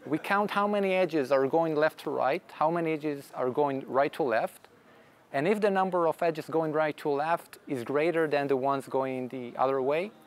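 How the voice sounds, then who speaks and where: A man whose first language is Hebrew, giving a technical conference talk and answering audience questions, explaining step by step with frequent restarts. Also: a little high. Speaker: conference speaker.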